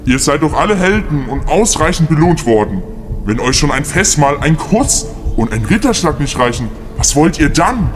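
A man acts out a measured male magician who grants heroes their wishes in an amateur audio play. The voice is fairly high and conciliatory, with music clearly behind. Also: big pitch swings. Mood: composed.

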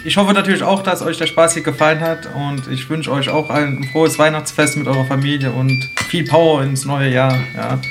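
A man's voice: fairly high pitch, fairly even intonation, fairly quick, hardly any pauses, music in the background. A man talking casually as a member of an amateur audio play cast. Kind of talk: casual chat and amateur radio-play acting.

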